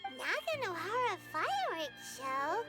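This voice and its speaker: A woman voicing a tiny, childlike fairy companion in a video game, squeaky, excitable and naive. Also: unhurried, exaggerated voice. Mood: curious. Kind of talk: English video game voice acting. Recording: loud music behind the voice.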